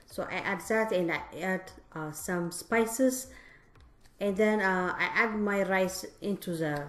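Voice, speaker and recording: A woman's voice: medium pitch, takes it slowly, fairly bright tone. A woman, a native Tigrinya speaker, chatting her way through a cooking demonstration at home and often speaking straight to her viewers. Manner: chatty, explains step by step, often addresses viewers directly. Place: home kitchen, indoors. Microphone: camera mic, speaker off-screen behind the camera. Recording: echoey home kitchen.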